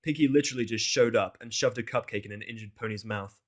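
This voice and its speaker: A man's voice: on the low side, fast. A man narrating fan fiction in an informal, exasperated way, swearing and slipping into casual asides.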